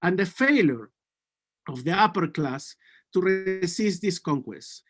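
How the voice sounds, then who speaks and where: High-voiced man with a Peruvian Spanish accent. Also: expressive, a little slow, a bit bright. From Peru. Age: 40s. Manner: earnest, with frequent restarts and self-corrections. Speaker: conference speaker. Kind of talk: prepared academic talk.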